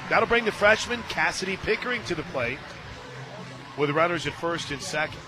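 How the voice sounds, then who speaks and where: A man calling softball live on radio as play-by-play announcer, mixing clipped pitch calls with conversational asides. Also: lively intonation, moderate pace. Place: radio booth at an outdoor softball stadium. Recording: live stadium booth, clear crowd noise. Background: stadium crowd.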